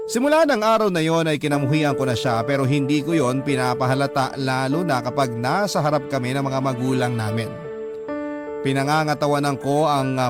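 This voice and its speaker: Male radio storyteller over music. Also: mid-range voice. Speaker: radio drama host reading a listener's letter. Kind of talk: first-person confessional story narration.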